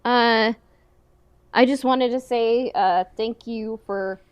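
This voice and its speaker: Slow-talking woman co-hosting a podcast. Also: on the high side, smooth, dry recording. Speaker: podcast co-host. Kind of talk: casual chat.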